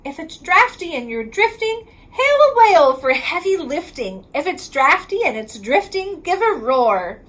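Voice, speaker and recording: Very high-pitched woman reading a picture book. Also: big pitch swings, a bit bright, poor audio. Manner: sing-song rhyming delivery, drawn-out line endings. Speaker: reading specialist reading aloud to children. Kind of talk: picture book read-aloud.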